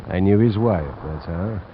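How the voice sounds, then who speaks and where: A man's voice: deep voice, slow pace. An actor playing a hardened, defiant man in a 1950s radio crime drama, curt and dismissive. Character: defiant, hardened male drug customer. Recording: muffled old radio recording, faint noise.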